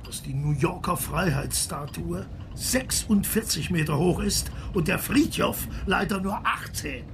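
A pompous emperor in a radio play, high in pitch and very unhurried. He declaims grandly and rapturously, with a creaky edge to his voice. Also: expressive, noisy background. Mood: pompous complaint. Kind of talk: radio drama acting, verse recitation.

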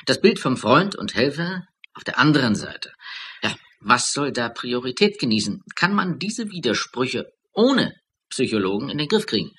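An actor playing a courteous academic psychologist who speaks formally and deliberately in a radio drama. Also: male voice, medium pitch, big pitch swings, a bit bright, a little hiss or hum. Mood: earnest, formal. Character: courteous, academic male psychologist applying for a post. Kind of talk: German radio play acting.

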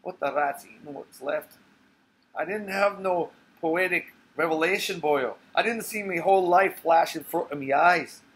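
A man's voice: fairly high pitch, normal speed, fairly bright tone, pitched above the performer's own voice, close to an everyday voice. A man playing a bitter, agitated Irish barfly, telling a story in an emphatic, foul-mouthed way. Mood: agitated, indignant. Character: agitated, bitter Irish barfly recounting a near-death scrape.

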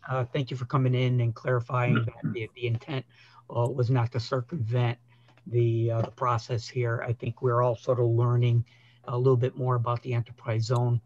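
An older man, a state senator, speaking haltingly over a video call from his office, with many uhs and you knows. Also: mid-range voice, flat delivery, darkish tone, a little hiss or hum. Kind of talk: hearing remarks. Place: office with a whiteboard. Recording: dull lo-fi video call audio.

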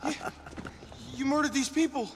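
A man's voice: extremely high pitch, normal speed, in a large hall. A man playing an earnest young hero, shocked and indignant, in a video game cutscene.

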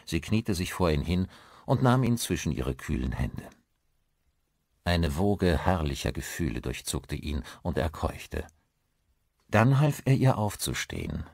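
A male narrator with a very deep voice reads scripted horror fiction as an audiobook. The sound is dry, with hardly any room to it. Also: dry recording.

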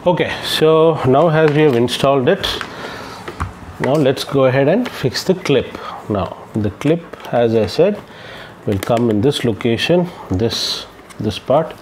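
A young man giving casual step-by-step how-to instructions, explaining things haltingly with pauses as he works. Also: mid-range voice. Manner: halting, explanatory, often pausing mid-sentence while working. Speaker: vlogger giving a how-to demonstration. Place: indoors at home, seated on the floor. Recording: steady ambient room noise.

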